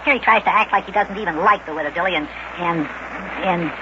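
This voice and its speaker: A man playing a small, innocent, excitable boy who exclaims and stammers in 1950s children's radio style. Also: high voice, quick pace, noisy background, natural voice. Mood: disgusted. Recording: muffled old radio recording with music.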